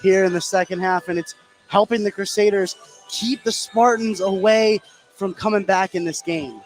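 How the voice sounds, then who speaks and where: A man's voice: sits high, normal speed, faint music behind, rough recording. A man giving conversational live analysis as a basketball color commentator. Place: indoor gym at a basketball game.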